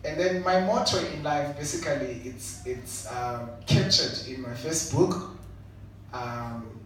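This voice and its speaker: A man's voice: mid-range voice, takes it slowly, fairly bright tone. Zimbabwean-born man, echoey hall from afar. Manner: earnest, reflective, repeats "you know". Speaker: panelist, entrepreneur and author. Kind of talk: panel discussion answer. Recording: echoey hall from far off, noisy.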